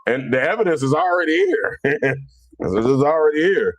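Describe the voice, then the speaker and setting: A man's voice: a little high, very animated, moderate pace, no echo. A man with a Philadelphia accent hosting a casual live religious debate, answering viewers in a blunt, colloquial, mocking way. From Philadelphia, United States. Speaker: livestream host.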